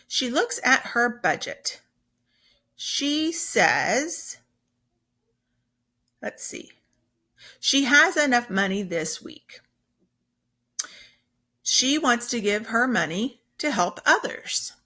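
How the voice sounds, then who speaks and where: A woman's voice: a little high, sing-song, drawn-out words, pauses often, sharp tone. A woman teacher reading a children's story aloud, explaining simply and with emphasis as she goes.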